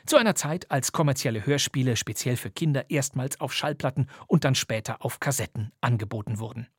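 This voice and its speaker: A male podcast host reads a scripted introduction in a husky, medium-pitched voice. The recording is close and dry. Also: fairly quick, no room sound. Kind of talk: scripted presentation.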